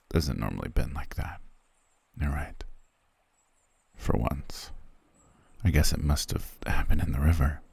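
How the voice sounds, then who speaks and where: Guy doing a cold soldier prince, super low husky voice, curt n disdainful, fantasy asmr roleplay. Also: warm tone, dry recording, full character voice. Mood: irritated, stoic. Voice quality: very deep, gravelly, breathy. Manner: curt, disdainful, dry, low-key grumbling.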